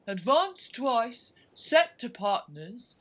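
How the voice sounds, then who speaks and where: A woman voicing a sorrowful but excitable male turtle creature in a storybook, solemn and wistful, given to excited exclamations. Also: a little high, extremely slow, voice pushed up, exaggerated voice.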